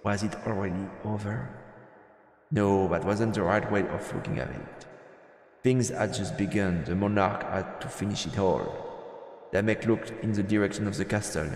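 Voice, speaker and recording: Gravelly low male storyteller, heavy echo. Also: normal speed, darkish tone. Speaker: let's play streamer narrating. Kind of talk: dramatic story narration. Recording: echoey, long reverb, music behind. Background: background music.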